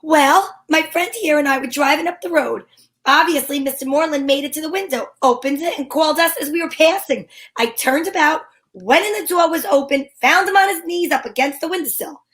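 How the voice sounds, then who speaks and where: A breezy female amateur sleuth acted by a woman in an old-time radio mystery. Her high voice is wry and sensible, with a take-charge manner. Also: a little fast, a bit bright. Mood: wry, matter-of-fact. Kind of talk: old-time radio mystery acting with first-person narration.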